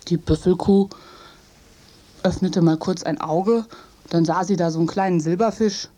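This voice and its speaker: A woman telling a fairy tale to children in an expressive, dramatic way. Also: medium pitch. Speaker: storyteller.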